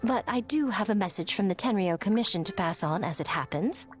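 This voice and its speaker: A woman's voice: medium pitch, expressive, a little fast, faint music behind, close to an everyday voice. A woman playing a sly, teasing, elegant shrine priestess in an anime video game, coy and mock-polite. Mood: playful, smug.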